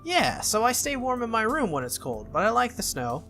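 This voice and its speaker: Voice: mid-range voice, big pitch swings, normal speed, fairly bright tone, faint music behind, voice pushed up. A performer voicing a cheerful, childish young noble girl from a visual novel, eager, innocent and a little petulant. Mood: innocent, happy.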